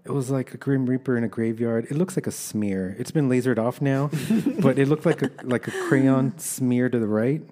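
A man's voice: medium pitch, moderate pace, hardly any pauses, warm tone. A middle-aged man from Los Angeles with an American accent, telling stories about himself in a candid, conversational way as an interview guest. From Los Angeles, United States. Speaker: guest, army veteran.

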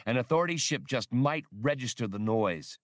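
A man's voice: medium pitch, lively intonation, moderate pace, voice pushed up, natural voice. A man reading an audiobook plays a brusque, hot-tempered smuggler pilot with a curt, slangy, snappish delivery. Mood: curt, impatient. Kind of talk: audiobook character voice.

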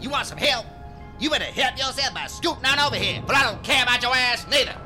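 Very high-voiced, brash street dweller. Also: male voice, lively intonation, fast, over music. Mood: scolding, mocking. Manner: sassy, slangy, scolding. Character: brash, scolding, gruffly kind street dweller. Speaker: actor in an audio drama. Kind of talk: science fiction audio drama acting.

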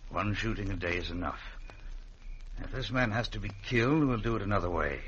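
An actor playing a cold, cultured outlaw boss in an old-time radio western, pompous, precise and menacingly genteel. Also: male voice, on the low side, expressive, a little fast, a bit bright, lo-fi sound. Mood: stern, plotting. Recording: faintly noisy old radio recording.

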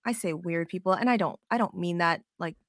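A woman hosting a casual sports podcast, excitable and swearing freely as she tells animated stories. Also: lowish voice, brisk, dry recording, clean studio sound. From Las Vegas, United States. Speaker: podcast host.

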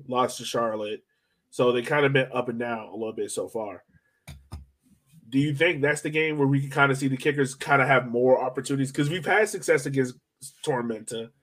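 A man with a mid-pitched voice hosts a sports podcast. He talks in a casual, slangy, conversational way, working through his thoughts as he goes. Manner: conversational, slangy, thinking aloud. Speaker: soccer podcast host.

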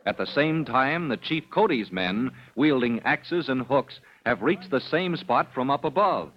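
A man's voice: mid-range voice, expressive, unhurried. A man announcing and narrating an old radio serial in an emphatic, theatrical style. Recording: muffled old radio recording.

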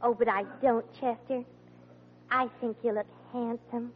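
An actress playing a sweet, doting young girlfriend in 1940s radio comedy, gently chiding and worried. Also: fairly high pitch, little pitch movement, measured pace, natural voice. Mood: tender. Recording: dull old radio recording, audience, noise.

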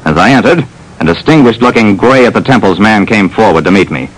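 A man playing a brisk, self-assured private detective who narrates an old radio drama. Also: fairly low pitch, expressive, poor audio. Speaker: narrator and lead. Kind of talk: 1940s radio acting.

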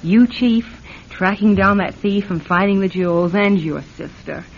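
A woman's voice: medium pitch, a little slow, a little hiss or hum, lightly acted. A woman playing a gracious, effusive actress wife in a radio drama, with polished delivery. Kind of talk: classic radio drama acting. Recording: dull radio recording.

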